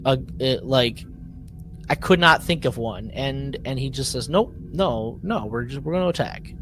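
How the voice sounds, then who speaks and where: A man's voice: medium pitch, animated, normal speed, a bit bright, soft background music. A man co-hosting a casual podcast recap, talking emphatically, repeating himself for effect and acting out mock quotes. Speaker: podcast co-host. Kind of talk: animated casual recap chat.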